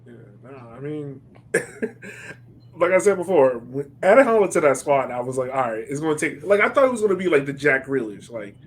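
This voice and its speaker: Higher-pitched man hosting a casual sports podcast. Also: a little hiss or hum. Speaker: podcast host. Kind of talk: casual sports chat, posing questions.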